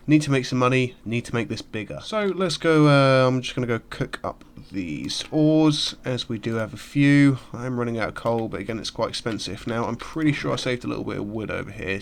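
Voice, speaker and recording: A man's voice: medium pitch, vocal fry. A man giving casual, rambling commentary while playing a game, sounding nasal from a cold. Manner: chatty, rambling with fillers. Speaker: gaming YouTuber. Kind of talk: casual let's-play commentary.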